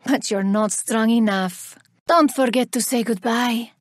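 Vengeful elven woman warrior, haughty, theatrical, deliberate menace, slightly high pitch, dry studio sound, video game character. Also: no echo. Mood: haughty scorn.

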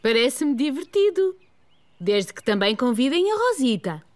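A woman's voice: high voice, big pitch swings, normal speed, heavy background noise. A woman playing a kindly, patient young mother in a children's cartoon, with a European Portuguese accent.

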